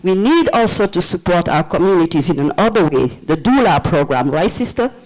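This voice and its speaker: A woman in her forties with a Senegalese accent gives public comment at a community meeting. Her delivery is passionate, and her pitch rises and falls in broad, animated swings. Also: a little fast, rough recording. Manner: passionate, animated, laughs often.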